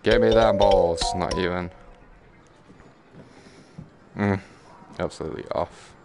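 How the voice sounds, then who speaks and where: Male game streamer, lowish gravelly voice, slangy self-talk with outbursts and swearing. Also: steady tone, takes it slowly, over loud music. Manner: muttering to himself and exclaiming, slangy, sweary. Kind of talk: casual live gameplay commentary.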